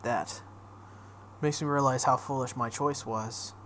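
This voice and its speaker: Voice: medium pitch, steady tone, measured pace, fairly bright tone, a little hiss or hum. A let's play host reading visual novel text aloud dramatically, breaking off into casual reactions.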